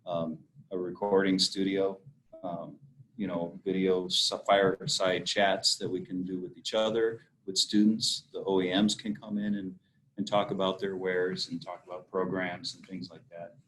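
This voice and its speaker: A man's voice: deep voice, flat delivery, normal speed, fairly bright tone, a little hiss or hum, low-quality recording. A man leading a casual meeting discussion, hesitant with many fillers. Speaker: meeting facilitator.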